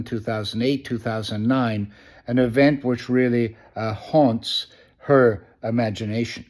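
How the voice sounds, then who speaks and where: A man who grew up in New York City, hosting a spoken newspaper review in a conversational way, often pausing with "uh" and restarting phrases. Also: medium pitch, darkish tone. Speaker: host of a press review. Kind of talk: casual spoken press review.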